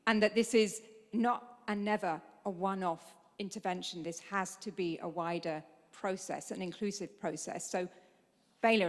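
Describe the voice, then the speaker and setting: A woman moderating a panel discussion, measured and summarizing before handing over. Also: mid-range voice. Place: large conference hall. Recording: long hall reverb.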